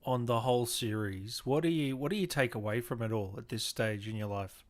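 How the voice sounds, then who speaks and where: A man's voice: mid-range voice, brisk, no echo. A man hosting a casual interview, asking questions in an informal, chatty way. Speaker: interviewer, gaming show host.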